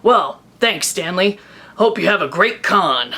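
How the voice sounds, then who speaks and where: A woman voicing a male cosplayer who stays in a tough soldier character with dry, sarcastic deadpan. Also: on the low side, measured pace, husky, heavily acted. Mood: breezy.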